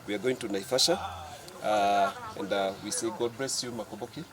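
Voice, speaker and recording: A man's voice: lowish voice, a little fast, a crowd in the background. A man making hesitant, informal farewell remarks.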